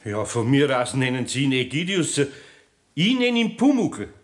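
An actor playing a good-natured, awkward master carpenter with a Bavarian accent and a hesitant manner in a 1960s German radio play. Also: male voice, mid-range voice, very animated, moderate pace, natural voice. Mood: earnest. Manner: hesitant, homely, stammering.

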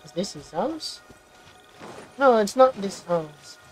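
A woman's voice: medium pitch, lively intonation, drawn-out words, soft background music, low-quality recording. A woman giving casual commentary as she plays through a game story and reads some lines aloud. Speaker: game streamer reacting to a story quest.

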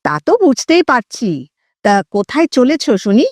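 A reluctant, cautious man in an audio story, acted by a woman, talks in a conversational, questioning way. The voice is fairly high and animated, recorded dry. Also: unhurried, no echo. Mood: teasing. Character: wary, reluctant male friend, teasing but timid.

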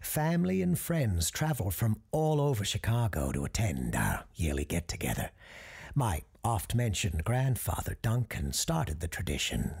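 A man performs as a genial parapsychologist hosting an audio drama and telling old family ghost stories. His voice is low and very breathy-rough, with some creak at the edges. He speaks in a measured, genteel storyteller's manner. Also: darkish tone, heavily acted. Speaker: host and storyteller of an audio fiction show. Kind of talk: scripted storytelling, radio-show monologue.

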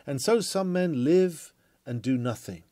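A man's voice: fairly high pitch, expressive, unhurried, warm tone, no echo, studio quality. A man reading an old-fashioned sermon aloud in an earnest, oratorical preaching manner. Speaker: sermon reader. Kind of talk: dramatic read-aloud of a Victorian sermon.

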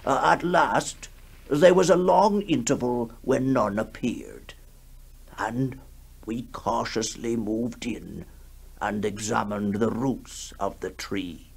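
A man acting a shaken, formal witness recounting horrors haltingly in a 1960s radio horror drama. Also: mid-range voice, gravelly, husky, heavily acted. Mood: grave, haunted. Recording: noisy 1960s radio recording.

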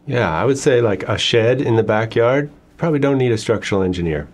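A man's voice: mid-range voice, lively intonation, a little fast. A middle-aged man answering as a structural engineer guest, giving brief, agreeable answers with hesitations, seated indoors in an office. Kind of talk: interview answers.

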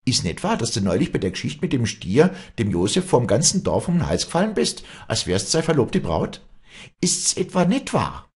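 A man's voice: deep voice, animated, fairly quick, husky, pitched above the performer's own voice, full character voice. A man voicing a proud, hot-tempered, jealous young peasant woman in Alpine Bavarian dialect, scornful and hectoring, in a storybook reading. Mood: furious accusing.

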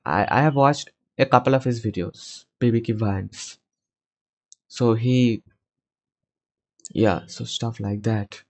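A man in his twenties hosting a casual conversation podcast over a video call, talking from a small room and often pausing to find his words. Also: mid-range voice, darkish tone. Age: 20s. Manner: hesitant, searching for words. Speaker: podcast host. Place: small home room, indoors. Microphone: headset.

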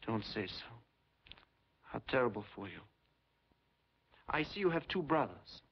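An actor playing a polite, unctuous secret-police major who feigns sympathy in a 1950s film. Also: male voice, mid-range voice, big pitch swings, measured pace, gravelly, breathy edge, heavily acted. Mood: mock concern. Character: smooth, falsely courteous Soviet secret-police major interrogating a prisoner. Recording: dull, noisy 1950s film soundtrack.

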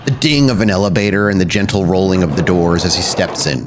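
A man's voice: low-pitched, animated, fast talker, background noise. A man narrating a tabletop horror game as storyteller, describing scenes in detail.

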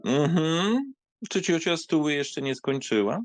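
A man's voice: a little high, sing-song, takes it slowly, no room sound, soft background music. A man playing a bored young aristocratic count in an audiobook drama, languid and dry, with hesitant hums. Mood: bored, indifferent. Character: bored, nonchalant young aristocrat count humouring his aunt.

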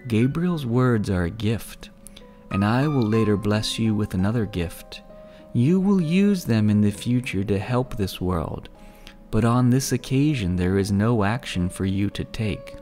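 A gentle, patient man speaks softly and slowly, each line measured, as the narrator lowers his voice for him. The voice sits lower than the narration. Faint music plays underneath. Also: expressive. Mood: tender. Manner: soft, measured, slow. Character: calm, gentle grown man, patient teacher.

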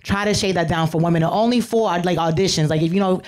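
Fast-talking blunt man judge. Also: sits high. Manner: quick, blunt, slangy. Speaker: comedian judge. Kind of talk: casual feedback. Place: comedy club.

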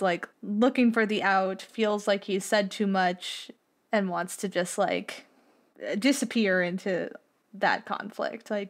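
A woman playing a tabletop game, casually explaining a character as she thinks aloud in a halting way. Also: mid-range voice. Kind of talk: casual, improvised character talk.

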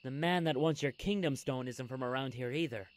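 A man's voice: medium pitch, fairly quick, close to an everyday voice. A man voicing an earnest, resolute young alien soldier in a video game, serious and emphatic. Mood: grave warning. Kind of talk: video game cutscene acting.

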